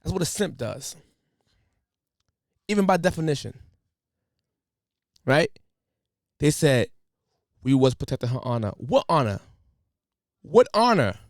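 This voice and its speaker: A man's voice: a little high, no echo, clean studio sound. Slangy ranting man on a podcast. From United States. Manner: animated, preachy, colloquial slang. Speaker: podcast host. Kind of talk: casual podcast rant.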